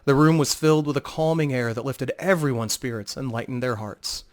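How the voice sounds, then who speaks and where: A man's voice: mid-range voice, fairly quick. A man narrating a fantasy tale as a dramatic scripted audiobook reading.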